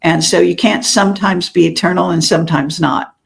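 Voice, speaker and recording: Gravelly-voiced rambling older woman. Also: fairly low pitch, steady tone, brisk. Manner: rambling, meandering, many fillers. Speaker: interview guest, show host. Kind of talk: casual interview chat.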